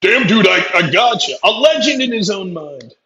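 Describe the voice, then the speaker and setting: A man's voice: high voice, sing-song, moderate pace, pushed and bright, dull audio. A male podcast host delivering a profane, combative, sarcastic political rant. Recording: roomy echo.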